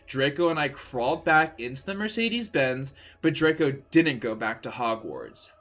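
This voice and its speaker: A man streaming a comic dramatic reading, narrating in a deadpan, mock-serious way. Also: medium pitch, moderate pace, soft background music. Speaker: streamer reading fan fiction.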